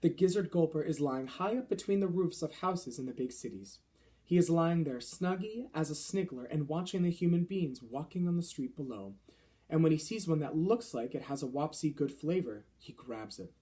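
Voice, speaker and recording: Man voicing an earnest, folksy storybook giant, lively pitch. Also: normal speed, lightly acted. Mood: explaining, relishing. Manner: quaint broken grammar with mangled, invented words. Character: big friendly male giant, earnest, folksy, gently indignant. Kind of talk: children's storybook character voice.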